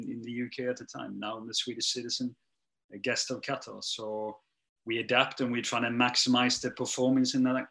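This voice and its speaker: Hesitant non-native man, bright tone. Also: mid-range voice, vocal fry. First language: not English. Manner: hesitant, many restarts and fillers, trailing off quietly. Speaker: strength and conditioning coach. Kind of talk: interview answers.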